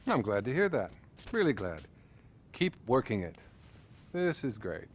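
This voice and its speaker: An actor playing a patronizing male therapist, measured and placating, in a naturalistic video game style. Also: mid-range voice, sing-song. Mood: soothing.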